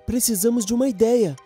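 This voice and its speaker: A woman's voice: fairly high pitch, moderate pace, dark tone, soft background music, close to an everyday voice. A woman voicing a young, cheerful male tank engine in a children's cartoon, speaking Brazilian Portuguese with an eager, upbeat manner. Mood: thinking, determined. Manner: eager, wide-eyed, upbeat. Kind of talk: animated children's cartoon dialogue.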